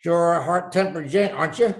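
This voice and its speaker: An actor playing a cagey, salty tattooist who talks like an old sailor, in a radio play. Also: male voice, fairly high pitch, a little fast, lightly acted. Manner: salty, evasive, calls people mate. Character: cagey old-salt male tattooist, nautical slang. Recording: home video-call recording.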